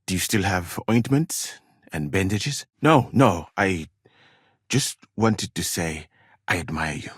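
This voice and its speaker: Husky, courteous medieval healer from Mali. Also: male voice, sits low, dry recording, studio quality, full character voice. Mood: gentle, admiring. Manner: courteous, measured, formal, careful wording. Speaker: video game character, physician. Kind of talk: acted video game dialogue, formal phrasing.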